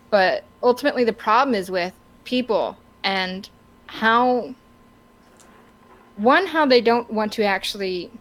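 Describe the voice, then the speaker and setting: A woman co-hosting a casual podcast, talking through her opinions in a halting, wordy way as she searches for words. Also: mid-range voice, a bit bright, low-quality recording. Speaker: podcast co-host.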